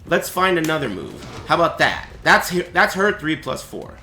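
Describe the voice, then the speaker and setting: Lively raspy-voiced man explaining a fighting game. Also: on the high side, normal speed, reverberant, slight background noise. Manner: chatty, explanatory, asks "right?" often. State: voice raspy and cracking. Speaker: streamer teaching a fighting game. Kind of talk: casual live-stream tutorial.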